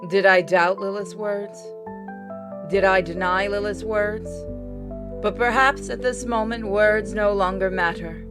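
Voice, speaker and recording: A woman reads visual novel dialogue aloud in a dramatic style. Her voice sits at a middle pitch, and game music plays clearly behind it. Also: fairly even intonation, unhurried.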